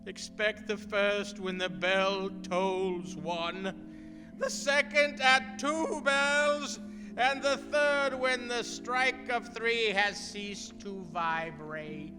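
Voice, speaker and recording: Slow echoing tormented ghost. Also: male voice, sits high, steady tone. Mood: solemn warning. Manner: slow, declamatory, mournful. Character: grave tormented male ghost, solemnly warning an old partner. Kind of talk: radio play acting. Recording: long reverb, music underneath.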